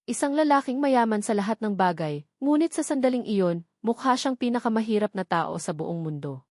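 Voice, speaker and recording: A woman's voice: medium pitch, darkish tone, no echo. Filipino woman narrating drama, very dry sound. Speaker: story narrator. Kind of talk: dramatic scripted romance narration.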